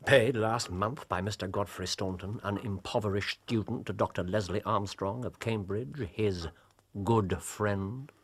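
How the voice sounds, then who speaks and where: An actor playing a shrewd, confident Victorian detective in a period drama, with an English accent and a theatrical, incisive, deliberate delivery. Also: male voice, fairly low pitch. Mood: probing, cool. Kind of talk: period radio drama dialogue. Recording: clearly noisy, ambient background.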